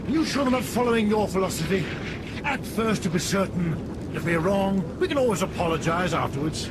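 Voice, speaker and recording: Troubled airline captain, higher-pitched, rumbling noise. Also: male voice, animated, brisk. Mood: bitterly sarcastic. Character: troubled, bitter male airline captain, firm and decent. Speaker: actor. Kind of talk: 1970s radio drama acting. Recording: 1970s radio recording, loud rumbling noise.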